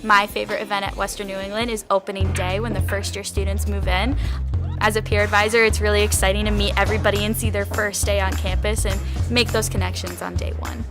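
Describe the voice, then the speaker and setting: A young woman student from Massachusetts introduces herself with quick, cheerful delivery. Her pitch stays fairly flat, and a clear music bed plays underneath her voice. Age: young adult. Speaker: student peer advisor.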